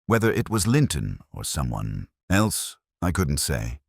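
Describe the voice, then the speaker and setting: A man playing a melancholy, introspective police detective, narrating a mystery in a measured, reflective manner. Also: rumbling low, big pitch swings, vocal fry, slightly rough, no echo, studio quality, exaggerated voice. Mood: brooding, reflective. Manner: measured, reflective, literary phrasing. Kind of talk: scripted first-person narration of a detective mystery.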